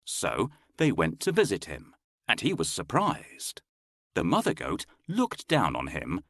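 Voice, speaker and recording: A man's voice: low-pitched, huge pitch swings, a little slow, fairly bright tone, no room sound, studio quality. A man narrating a scripted children's bedtime story as the storyteller.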